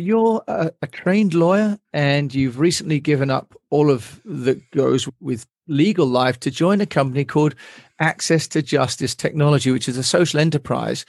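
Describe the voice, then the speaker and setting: A man's voice: fairly high pitch, darkish tone, no echo. Male podcast host, dry close sound. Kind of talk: scripted-sounding show introduction, interviewing.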